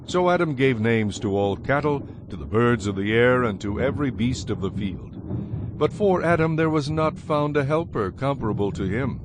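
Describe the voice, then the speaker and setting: A man narrating dramatized scripture in a solemn, measured manner. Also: mid-range voice, lively intonation, background noise. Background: music.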